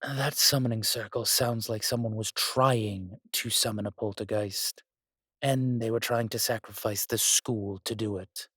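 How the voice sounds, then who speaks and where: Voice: mid-range voice, steady tone, a little slow, fairly bright tone, husky, no echo, clean studio sound. An actor playing an ancient, powerful spirit, sardonic, proud and condescending, in an audio roleplay drama.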